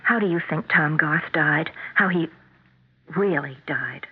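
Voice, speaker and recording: An actress playing a concerned, earnest wife in a 1970s radio drama. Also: female voice, sits low, measured pace, husky. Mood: worried, probing. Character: Gwen, concerned, earnest married woman confiding in friends. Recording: narrowband vintage radio recording.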